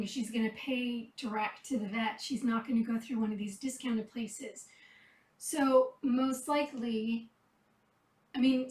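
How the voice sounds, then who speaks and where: A woman who rescues cats, chatting casually and softly while tending kittens, her voice going a bit hoarse. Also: fairly high pitch, reverberant, recorded from a distance, rough recording. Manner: chatty, soft-spoken, talks to the cats between remarks. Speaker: cat rescuer. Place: kitten room indoors.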